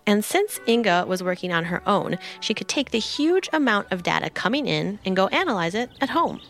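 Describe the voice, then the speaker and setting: Woman, podcast host, scripted kids' science narration, very animated pitch, faint music behind. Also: brisk. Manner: bright explainer, playful analogies.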